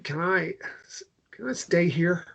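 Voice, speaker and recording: A man performs a warm-hearted man in a gentle romance drama. His voice sits high for a man, and he speaks with open, slightly halting sincerity. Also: gravelly, muffled recording, exaggerated voice. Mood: halting, vulnerable. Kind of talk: table read of a romance drama.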